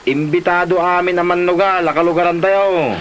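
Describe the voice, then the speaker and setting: A man's voice: high voice, fairly even intonation, moderate pace, slight background noise, natural voice. A man acting a pushy gambling buddy who plays matchmaker in a comedy drama. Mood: persuasive. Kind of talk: Ilocano comedy drama dialogue.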